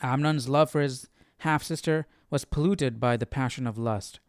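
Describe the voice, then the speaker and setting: A man's voice: mid-range voice, normal speed, no echo, clean studio sound. A man hosting an informal Bible study, reading aloud and puzzling through the text hesitantly as he comments. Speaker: host of a live Bible study.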